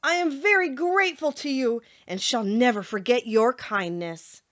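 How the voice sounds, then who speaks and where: A woman voicing a gentle, grateful tin man in a storybook, speaking politely and sincerely. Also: fairly high pitch, normal speed, dry recording, lo-fi sound, lightly acted.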